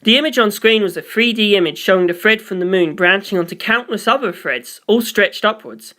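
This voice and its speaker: Bright-voiced story narrator. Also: mid-range voice, brisk. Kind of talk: scripted narration of fan fiction.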